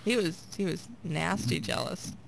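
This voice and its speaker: A woman co-hosting a humor radio show in casual banter, a little hoarse after a late night and laughing often. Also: on the low side, normal speed, slight background noise, poor audio. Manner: laughs often, playful teasing. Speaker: radio co-host.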